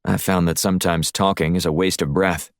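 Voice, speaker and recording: A man's voice: low-pitched, little pitch movement, a little fast, vocal fry, no room sound, studio quality. A man voicing a cool, wry male secret agent in an audiobook, terse and unflustered. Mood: wry, defiant. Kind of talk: audiobook character voice.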